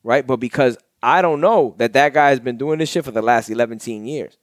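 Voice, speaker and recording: A young man hosts a casual podcast, talking with animated emphasis and a loose, profane edge. His voice sits at an ordinary middle pitch. The sound is close and dry, with almost no room around it. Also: brisk, dry recording. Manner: animated, emphatic, swears freely. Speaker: podcast host.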